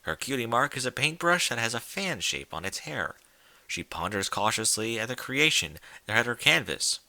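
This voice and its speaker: A man reading stories aloud in a theatrical, deliberate style of dramatic scripted narration. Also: mid-range voice, big pitch swings, bright, forceful tone, no room sound. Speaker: story reader.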